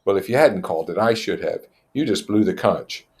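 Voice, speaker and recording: A man's voice: sits low, lively intonation, a little fast, a little mellow. A man voicing a troubled, bitter but determined schoolboy chief in a storybook reading, earnest and exasperated. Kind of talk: storybook character voice.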